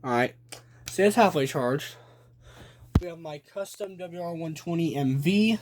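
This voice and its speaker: Casting: young adult man, slightly high voice, casual hobbyist presenter, short deliberate phrases, faint noise in a handheld home recording. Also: expressive, a little mellow. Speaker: hobby collector presenting a video. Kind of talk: casual show-and-tell narration. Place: small room at home. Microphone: handheld phone behind the camera. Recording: noisy handheld home video with clicking.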